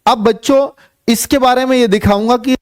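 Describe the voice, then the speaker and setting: A man's voice: very high-pitched, fairly even intonation, moderate pace, no room sound, studio quality. A man giving an informal explanatory lesson to students, repeating points and checking that they follow. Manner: explanatory, repetitive, checks listeners follow with tag questions. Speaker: exam coaching teacher.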